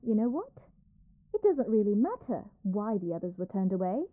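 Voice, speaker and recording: A woman's voice: mid-range voice, big pitch swings, normal speed, dry recording, narrow, tinny audio, natural voice. A woman playing an arrogant young princess in a dramatic audio roleplay, haughty, petulant, theatrical and given to complaint. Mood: stubborn, haughty.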